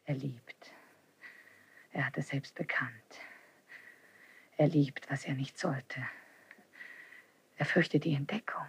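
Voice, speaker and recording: An actress playing a passionate young princess, spurned and turning vengeful, in a theatrical, intense 1970s German radio play style. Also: female voice, sits low, breathy edge, exaggerated voice. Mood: crushed, brooding. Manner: theatrical, intense, with sudden drops to whispers.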